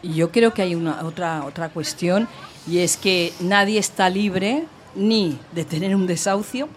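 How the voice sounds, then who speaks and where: A woman's voice: lowish voice, slight background noise. A woman with an accent from Spain talking casually in a panel discussion, often adding short tag questions. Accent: Spanish, from Spain. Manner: conversational, frequent tag questions. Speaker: panel participant.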